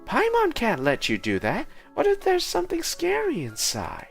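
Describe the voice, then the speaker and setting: A high, eager little companion voice, put on by a man reading along with the game. It jumps up well above his usual talk and sounds excited and a bit louder. Game music plays softly underneath. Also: normal speed, vocal fry, full character voice. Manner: reading game dialogue aloud in a raised, eager voice.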